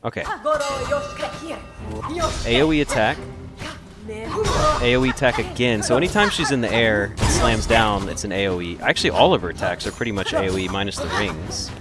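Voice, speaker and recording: A man's voice: on the low side, big pitch swings, normal speed, pauses often. A man giving let's play commentary, reacting and exclaiming as he gets hit in a boss fight. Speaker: gaming video host. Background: game music.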